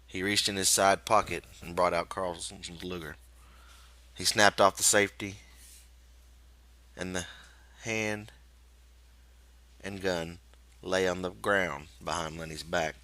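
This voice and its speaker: A man reading a novel aloud as dramatic, measured, suspenseful scripted narration. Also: low-pitched, fairly even intonation, bright, forceful tone. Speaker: audiobook reader.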